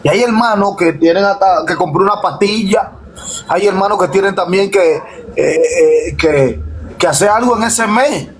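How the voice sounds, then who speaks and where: High-voiced pastor, noisy recording. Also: male voice, normal speed. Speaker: pastor hosting a live discussion. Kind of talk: informal preaching and live chat.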